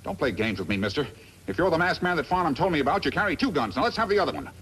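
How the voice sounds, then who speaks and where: An actor playing a scheming, smug, greedy mine manager in a 1950s western radio drama, on a noisy old recording. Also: male voice, a little high, big pitch swings, very fast, fairly bright tone. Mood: tense, threatening.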